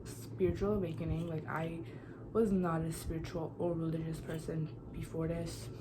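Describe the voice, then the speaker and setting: A woman's voice: deep voice, little pitch movement, normal speed, background noise. A woman in her twenties from Iran, chatting casually and bluntly while doing her makeup, giving motivational pep talk. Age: 20s. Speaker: beauty vlogger. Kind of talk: casual get-ready-with-me chat.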